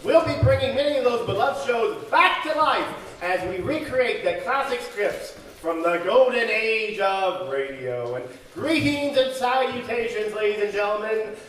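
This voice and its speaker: A man's voice: sits high, very animated, a bit bright. A man hosting a live old-time radio show in a showy, jokey manner. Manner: showy, jokey, drawn-out greetings and repeats. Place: community center hall, live audience. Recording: echoey hall, distant mic, audience noise.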